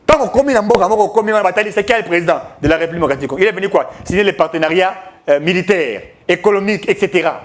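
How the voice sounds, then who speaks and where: A man's voice: sits high, expressive, very fast. A man giving emphatic, declamatory political commentary, mixing French with Lingala.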